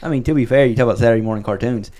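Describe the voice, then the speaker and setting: A man's voice: mid-range voice, very fast, dark tone. A man hosting a casual podcast chat, rambling and correcting himself, his voice worn from a lot of talking. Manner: rambling, self-correcting, wraps up the show. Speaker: podcast host.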